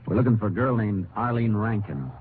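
An American man playing a calm, authoritative Texas Ranger who questions tersely, in 1950s radio drama style. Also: lowish voice, fairly quick, lightly acted. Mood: firm, probing. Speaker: lead actor and narrator. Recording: noisy, tinny 1950s radio recording.

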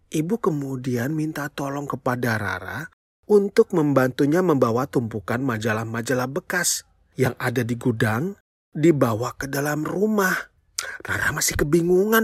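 A storyteller telling a children's tale in an expressive, playful way, speaking straight to young listeners with exclamations and questions. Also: medium pitch, husky, no room sound, studio quality. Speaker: children's storyteller, show host.

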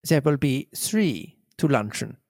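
A cold, clever villain speaks calmly and deliberately, every word a quiet threat, as a man voices him in a read-aloud story. The voice sits at an ordinary middle pitch, recorded dry and close. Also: gravelly, no echo, in a large hall, exaggerated voice. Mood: commanding. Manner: calm, measured, threatening.

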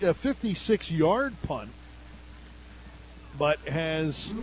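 An American man doing live radio play-by-play commentary of a high school football game. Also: rough recording. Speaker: play-by-play announcer.

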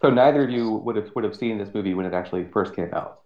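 A man in his late forties chatting as a podcast guest in a halting way, often repeating words. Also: fairly low pitch. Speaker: podcast guest, freelance writer. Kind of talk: casual interview chat. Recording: dull, echoey remote-sounding recording.

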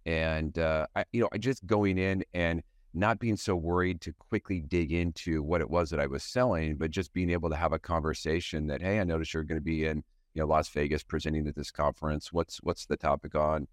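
A middle-aged man with a very low, deep voice, speaking as an interview guest. He tells stories from his working life in a reflective, unhurried way, with lots of "you know" fillers. The sound is dry and close, with no room echo. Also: steady tone. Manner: thoughtful storyteller, frequent "you know" fillers. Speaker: guest, sales professional.

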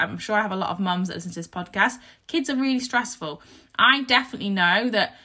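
A woman in her thirties hosts her own podcast while nursing a sore throat, so her voice comes out croaky and husky. Her tone stays bright and forward. She talks in an earnest, reflective, motivational way. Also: medium pitch. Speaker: podcast host. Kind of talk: casual solo podcast talk.

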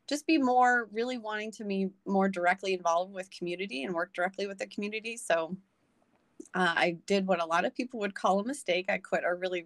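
A woman's voice: lowish voice, no echo. A young adult woman, a podcast guest, talking earnestly in a casual conversation, with many ums and restarts.

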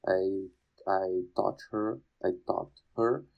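Low-voiced man, halting English. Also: gravelly, darkish tone, lo-fi sound. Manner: emphatic, repeats phrases, halting non-native English. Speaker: podcast guest, language learner and teacher. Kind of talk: casual conversational interview.

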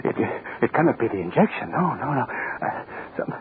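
An actor in an old-time radio horror play, playing a panicked military ruler whose mind is slipping toward childhood, with a halting, repetitive stammer. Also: male voice, medium pitch, big pitch swings, close to an everyday voice. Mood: shaken denial. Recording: muffled, hissy old radio recording.

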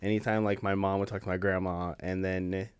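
A relaxed interviewer with an American accent and a very low voice, asking questions casually with lots of fillers. The sound is dry and close, with no room echo. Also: brisk, clear, resonant tone. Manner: relaxed, chatty, many fillers.

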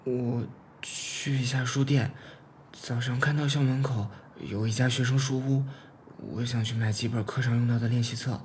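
A man's voice: mid-range voice, fairly even intonation, moderate pace, a little mellow, slight background noise, lightly acted. A man acting in a Chinese audio drama as a timid, frail, apologetic schoolboy who speaks meekly and hesitantly. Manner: meek, hesitant, stammering.

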